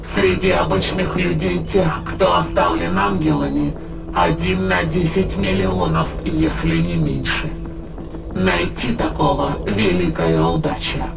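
An ancient, sinister demonic being holds forth in an audio drama. The voice is extremely gravelly and creaky, with solemn, archaic, declamatory delivery. Music plays clearly behind it. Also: medium pitch, full character voice, rough recording. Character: sinister ancient demonic spirit, solemn, gloating, coldly patient. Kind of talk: dramatized audio play, formal archaic monologue. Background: dramatic background music.

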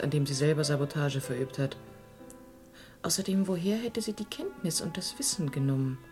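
A sceptical, indignant female suspect played by an actress in a radio crime play. Her voice sits on the low side, pointed and questioning, with music behind it. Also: darkish tone, close to an everyday voice. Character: sceptical, indignant, reasoning woman under police suspicion. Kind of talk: German radio crime drama acting.

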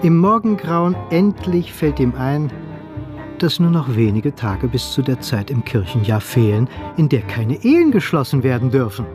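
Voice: mid-range voice, very animated, normal speed, lightly acted. A narrator reading a literary story in a scripted radio play style. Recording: music behind the voice.

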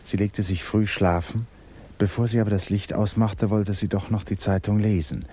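A man's voice: fairly even intonation, normal speed, dark tone. A man narrating a literary story in a 1960s German radio drama. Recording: old radio recording with hiss, rumble.

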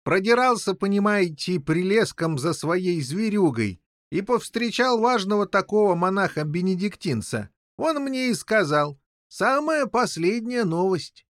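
A man voicing an excitable, muddled king who rambles, stammers and tacks questioning interjections onto his talk in an audiobook story. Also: sits high, unhurried, no echo, clean studio sound, pitched above the performer's own voice. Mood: eager.

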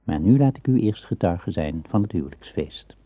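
A man narrating an Arthurian legend in a radio play, measured and solemn like a storybook teller. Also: deep voice, expressive. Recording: dull old radio drama recording.

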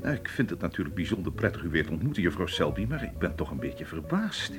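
An actor playing a polite but suspicious, stern Egyptian police inspector in a radio drama, questioning formally and insistently. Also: male voice, deep voice, sing-song, fast, music in the background. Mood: courteous.

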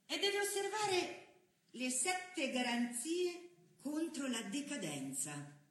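An actress playing an idealistic woman political leader in a theatrical monologue, declaiming deliberately. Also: high voice, big pitch swings, fairly bright tone, reverberant, full character voice. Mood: solemn, fervent. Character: idealistic woman political leader expounding ancient Buddhist principles.

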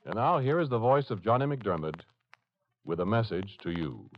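A man's voice: lowish voice, sing-song, normal speed, loud music behind the voice. A man announcing on a mid-century radio show in a formal scripted style. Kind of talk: 1940s-50s radio announcing. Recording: dull, lo-fi old radio recording.

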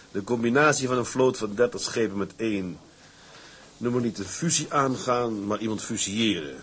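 A man playing a shrewd, defiant tugboat captain in a radio drama, with a husky voice and a wry, blunt, commanding manner. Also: on the low side, moderate pace. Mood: wry defiance. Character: shrewd, defiant male tugboat captain, plain-spoken schemer. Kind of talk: Dutch radio drama acting.

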